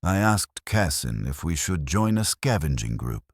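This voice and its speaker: Male narrator, extremely low voice, grave first-person storytelling, dry close sound. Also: sing-song, a little slow, no echo.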